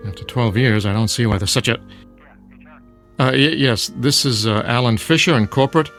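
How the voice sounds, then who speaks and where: Radio drama office exec played by a man, stuffy, disapproving, mid pitch, faint music under. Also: moderate pace, a bit bright, natural voice. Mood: reluctant, businesslike. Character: disapproving corporate executive, formal and exasperated. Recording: music behind.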